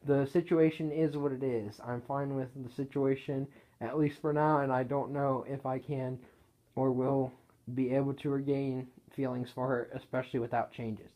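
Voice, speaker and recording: A man reading his own written story aloud in a casual way, halting and correcting himself as he goes. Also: medium pitch, flat delivery, warm tone, poor audio.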